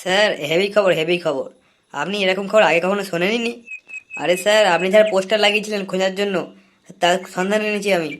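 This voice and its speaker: A man playing a fast-talking cartoon informer. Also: sits high, steady tone, a bit bright, a little hiss or hum. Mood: eager, bargaining. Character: cagey, money-minded young male police informer. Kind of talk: comic cartoon dialogue.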